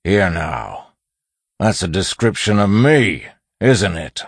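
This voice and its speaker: A man's voice: deep voice, sing-song, slow pace, breathy edge, no room sound, voice pushed up, full character voice. A man voicing a menacing, mocking half-monster bandit thug in an audiobook, bullying and taunting. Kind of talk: audiobook character acting.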